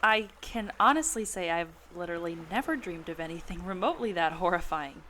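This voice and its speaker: A woman playing a sensible, curious adventurer with expressive delivery in a radio comedy. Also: lowish voice, a little fast. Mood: wryly concerned. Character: sensible, curious young-spirited female adventurer Annie. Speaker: podcast host acting in audio drama. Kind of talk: amateur radio comedy acting. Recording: noticeable ambient background noise.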